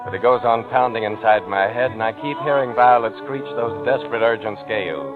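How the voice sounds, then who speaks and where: A man's voice: fairly low pitch, fairly quick, music in the background, close to an everyday voice. An actor playing a brooding, wry man in a vintage radio suspense drama, with muffled old sound. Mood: brooding, tense. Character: brooding, sardonic man, haunted narrator of suspense tale. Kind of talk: 1940s radio acting, first-person narration.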